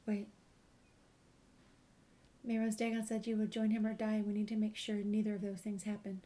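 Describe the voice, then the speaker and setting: A woman's voice: medium pitch, completely flat delivery, moderate pace, warm tone. A woman hosting a game walkthrough, casually commenting and reading dialogue options aloud. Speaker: gamer, host of a game walkthrough.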